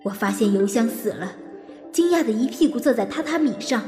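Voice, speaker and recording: A woman's voice: on the high side, lively intonation, a little fast, darkish tone, soft background music. An actress playing a secretive, calculating woman's introspective inner monologue in an audio drama, with a reverberant thought effect. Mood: anxious, relieved. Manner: introspective, narrating own thoughts. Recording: long echoing reverb on voice.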